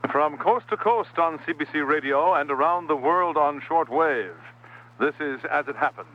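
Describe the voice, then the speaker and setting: A man's voice: on the high side, moderate pace. A man hosting a radio magazine show, reading a scripted introduction. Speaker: radio host. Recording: muffled shortwave radio recording. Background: music.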